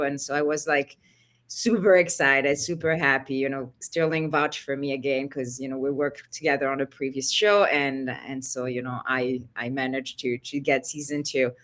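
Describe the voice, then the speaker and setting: A middle-aged woman talks in a low, forceful, bright voice. She chats loosely, leaning on "you know" and "like" as she thinks aloud. She sounds like she's on a video call. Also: fairly even intonation, moderate pace. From Italy. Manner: chatty, many "you know" and "like" fillers. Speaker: costume designer guest. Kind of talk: casual interview chat.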